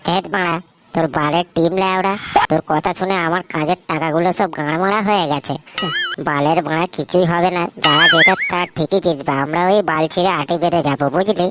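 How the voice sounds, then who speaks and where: A woman's voice: lowish voice, steady tone, fairly quick, loud music behind the voice, close to an everyday voice. A woman voicing a hot-headed, greedy young male sidekick in a comic cartoon, combative and slangy. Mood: grumbling, dismissive.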